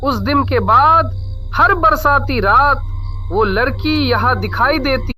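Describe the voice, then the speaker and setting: A woman voicing a frightened old male tea seller who tells a ghostly tale in a halting, dramatic way. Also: high voice, lively intonation, sharp tone, faint music behind, voice pushed up, exaggerated voice. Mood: grave, ominous. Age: older. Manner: slow, halting, dramatic storytelling.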